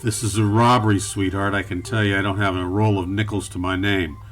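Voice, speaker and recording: A man's voice: low voice, level tone, a little fast, a bit bright, faint music behind. A man playing a world-weary, wisecracking private eye in a campy noir radio play, dry and wry. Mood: defiant. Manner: dry, wry, hard-boiled. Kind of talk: campy noir dialogue and narration.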